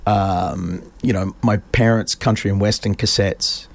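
A man's voice: on the low side, fairly even intonation, unhurried, vocal fry, no echo. Rambling man telling stories. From Australia. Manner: rambling storyteller, many fillers and restarts. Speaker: podcast guest. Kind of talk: casual interview chat.

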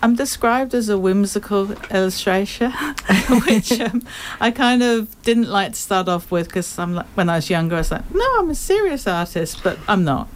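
A woman's voice: medium pitch, animated, moderate pace, few pauses. A woman in her fifties with an English accent, a guest artist chatting in a radio interview and laughing often. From England. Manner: chatty, laughs often, warm self-deprecating.

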